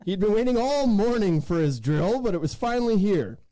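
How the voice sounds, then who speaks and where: A man's voice: high-pitched, big pitch swings, normal speed, mellow, no room sound, low-quality recording. A man hosting a casual podcast, reading silly stories aloud in a jokey way and prone to laughing through his sentences. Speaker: podcast host.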